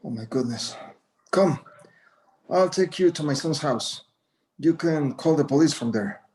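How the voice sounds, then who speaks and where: A man's voice: on the high side, animated, measured pace, darkish tone. A man playing a proud, courteous old Mexican-American rancher in a screenplay table read, speaking formally. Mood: concerned. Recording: echoey room.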